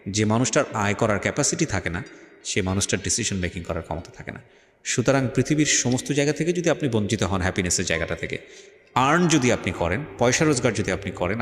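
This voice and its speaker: A man hosting a life-story interview show, giving measured advice and mixing English phrases into his speech. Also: fairly low pitch, big-room sound. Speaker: radio show host. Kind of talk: interview and reflective commentary.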